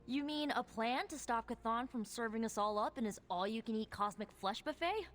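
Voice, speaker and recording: A woman's voice: on the high side, moderate pace, fairly bright tone, a little hiss or hum, natural voice. A woman playing a cocky, slangy young rebel superheroine in a video game, talking casually. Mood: breezy, confident.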